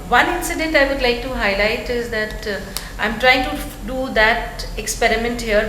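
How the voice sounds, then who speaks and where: A woman with a big voice, a retail executive on a panel, rambling with lots of fillers. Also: on the high side. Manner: loud, rambling, lots of "you know". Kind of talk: panel discussion. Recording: echoey room with clear background noise.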